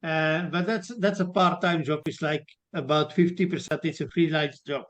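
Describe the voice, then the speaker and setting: A man's voice: on the high side, fairly even intonation, moderate pace. A man from Belgium, introducing his work in a hesitant way full of fillers and restarts. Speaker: web designer introducing himself. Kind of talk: self-introduction at an online networking meeting.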